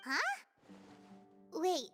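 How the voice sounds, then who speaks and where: A woman voicing a tiny, childlike, chatty fairy companion in a video game, eager and excitable with a squeaky voice. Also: drawn-out words. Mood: curious, eager. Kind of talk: scripted video game voice acting. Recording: playful game music behind the voice.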